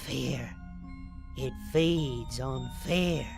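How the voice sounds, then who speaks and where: A woman voicing a grave, foreboding old grandmother pony in an audio drama, speaking slowly and deliberately. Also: a bass voice, creaky, full character voice. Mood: grave, ominous. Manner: slow, grave, deliberate delivery. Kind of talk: scripted audio drama of fan fiction. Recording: faint music underneath.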